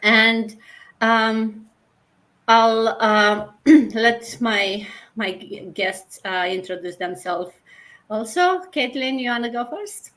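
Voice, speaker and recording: A woman's voice: on the high side, slow pace, bright, forceful tone. A woman from Romania hosting a panel discussion in a conversational, informal and somewhat hesitant way. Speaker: host.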